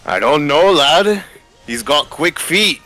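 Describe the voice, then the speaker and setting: A man's voice: fairly high pitch, expressive, slow, pushed and bright, heavily acted, rough recording. A man voicing a boastful, hard-drinking Scottish mercenary who teases his companions, in a fan story reading. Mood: teasing. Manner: teasing, boastful. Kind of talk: amateur voice acting in a live fan story reading. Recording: music behind the voice.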